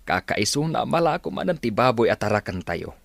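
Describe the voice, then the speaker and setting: An actor with a Filipino accent playing a gentle, proud, doting father in a radio drama. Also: mid-range voice, sing-song, brisk, creaky, no echo. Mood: tender. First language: Ilocano.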